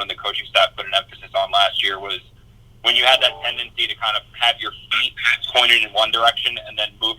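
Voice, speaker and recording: A man's voice: fairly low pitch, sharp tone. A man working as a sports reporter, asking rambling, self-interrupting press questions down a phone line.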